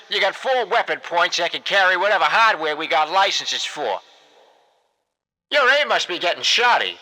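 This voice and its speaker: High, piercing old mechanic. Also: male voice, sharp tone, heavily acted, poor audio. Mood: wry, intrigued. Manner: colloquial, jocular, swooping delivery. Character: folksy, wisecracking older male spaceship hangar mechanic. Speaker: mission briefer and equipment handler. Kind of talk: animated character voice-over for a video game.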